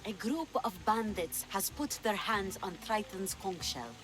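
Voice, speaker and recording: A woman's voice: medium pitch, expressive, a little slow, over music, lightly acted. An actress playing a sly, imperious, treasure-loving pirate queen of mature years, with a languid, theatrical delivery in a video game cutscene. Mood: teasing, commanding. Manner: languid, commanding, teasing, theatrical.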